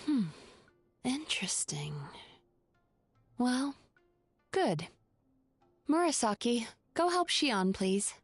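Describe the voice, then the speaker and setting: A woman playing a composed young dream therapist in a video game, measured, clinical and articulate. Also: a little high, very animated, breathy edge, no echo, faint music behind, heavily acted. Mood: composed, pensive.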